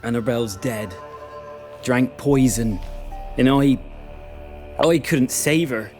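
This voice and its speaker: An actor playing an anguished, guilt-ridden young village fisherman in a video game, halting and emotional, speaking in rustic dialect. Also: mid-range voice, darkish tone, faint music behind, close to an everyday voice. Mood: guarded, grieving. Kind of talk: video game dialogue acting.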